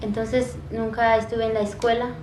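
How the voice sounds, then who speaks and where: Echoey, even-voiced Guatemalan woman, learner's Spanish. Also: fairly high pitch, a little hiss or hum. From Quiché, Guatemala. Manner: simple, halting Spanish of a recent learner. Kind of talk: personal testimony. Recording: echoey, distant, loud music behind.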